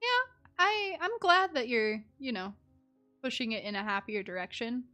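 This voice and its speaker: A woman's voice: on the high side, lively intonation, moderate pace, sharp tone. A woman live-streaming a video game, giving casual, informal and playful commentary. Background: game music.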